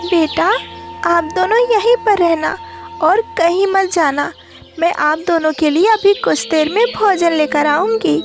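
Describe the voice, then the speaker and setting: Woman playing an anxious, high-voiced mother bird. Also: measured pace, faint music behind. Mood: tender. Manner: pleading, tender. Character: anxious, devoted mother songbird. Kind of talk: Hindi moral-story cartoon character voice.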